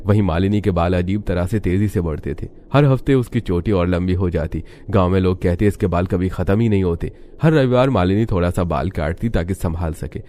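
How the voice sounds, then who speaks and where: A man's voice: sits low, fairly quick, hardly any pauses, warm tone, slight background noise. A man reading scripted narration as the narrator of a cartoon story.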